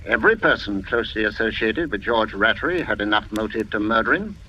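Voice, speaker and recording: An actor playing a composed police inspector in an old radio drama, formal, deliberate and insinuating. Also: male voice, lowish voice, expressive, natural voice. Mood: accusing, calm. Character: composed, probing police inspector, firm and methodical. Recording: muffled old radio recording, faint noise.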